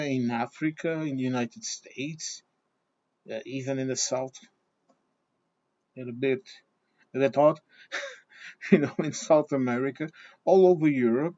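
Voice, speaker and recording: Man, english, mid pitch, halting with restarts, slightly dull lo-fi sound. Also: dark tone. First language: Portuguese and German. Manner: hesitant with many restarts, fillers and repeated "okay?". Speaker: vlogger. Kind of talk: opinion talk to camera.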